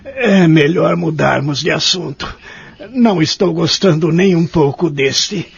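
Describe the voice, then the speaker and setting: A man playing an anxious, lonely old nursing-home resident with a Brazilian accent in an audio drama, his voice husky and creaky. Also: on the high side, animated, a little slow, no room sound, heavily acted. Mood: uneasy. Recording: dull lo-fi audio with music behind.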